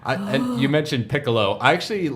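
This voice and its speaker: A man in a casual group chat, talking like an animated fan and acting out favorite lines. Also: mid-range voice, fast talker. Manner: animated fan reenacting dialogue. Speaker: podcast panelist.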